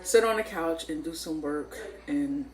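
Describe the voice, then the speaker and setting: A woman's voice: deep voice, unhurried, crowd noise. A middle-aged woman with a head cold, chatting casually at home about her day and often laughing. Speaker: vlogger. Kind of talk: casual daily vlog talk. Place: at home, bathroom and kitchen. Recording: roomy echo, mic at a distance.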